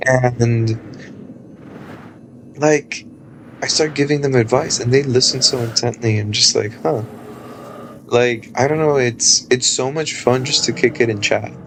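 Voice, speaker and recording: A man in his thirties chatting casually on a live stream, loose and rambling with lots of fillers and a low speaking voice. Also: unhurried, pauses often, fairly bright tone, a little hiss or hum. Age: 30s.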